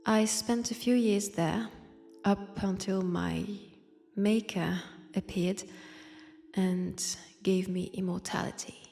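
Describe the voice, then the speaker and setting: A woman's voice: medium pitch, steady tone, slow, creaky, dark tone, soft background music. A woman with a French accent playing a wistful, wry centuries-old vampire in a convent, in an intimate audio roleplay, hesitant and sighing. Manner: hesitant, sighing, drifting into near-whispers. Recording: long church-like reverb.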